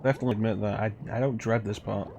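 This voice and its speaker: A man's voice: on the low side, fairly even intonation, very fast, gravelly, background noise. A man giving casual commentary while streaming a video game. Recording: game music playing behind. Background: video game music.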